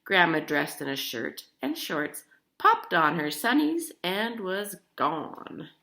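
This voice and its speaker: A woman's voice: fairly low pitch, slow pace, gravelly. A woman reading a children's picture book aloud expressively, talking to young listeners as she goes. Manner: animated, addresses child listeners with questions. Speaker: storybook reader.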